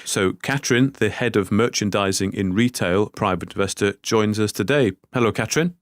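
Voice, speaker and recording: A man's voice: lowish voice, fairly quick, no echo, studio quality. A man co-hosting a podcast who introduces the guest and chats in a friendly way with short remarks. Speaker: podcast co-host. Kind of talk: casual interview chat.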